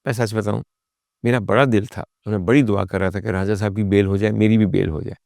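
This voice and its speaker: A man's voice: fairly low pitch, fairly even intonation, brisk, creaky, a little mellow, no room sound, clean studio sound. A Pakistani man telling a personal story as a monologue, in a dramatic, emphatic raconteur's manner. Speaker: talk show guest and storyteller.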